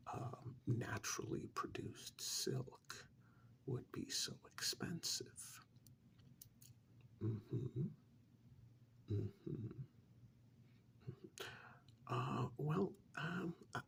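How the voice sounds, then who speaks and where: A man playing a polite, flustered accountant in a soft-spoken ASMR role-play, breathy and half-whispered, with a hesitant, apologetic manner. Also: medium pitch, exaggerated voice. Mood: businesslike, courteous. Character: polite, flustered male tax accountant serving monster clients.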